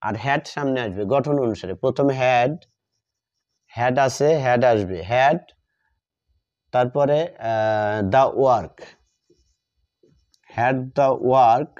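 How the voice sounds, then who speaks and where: A man teaching a grammar lesson step by step, deliberately repeating phrases and answering his own questions. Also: medium pitch, a little mellow. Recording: dull lo-fi sound.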